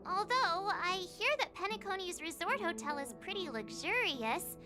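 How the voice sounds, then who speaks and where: A woman voicing a bubbly, excitable young girl traveller in a video game, perky and expressive as she muses aloud over music. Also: very high-pitched, moderate pace. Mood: cheerful musing. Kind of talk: video game character acting.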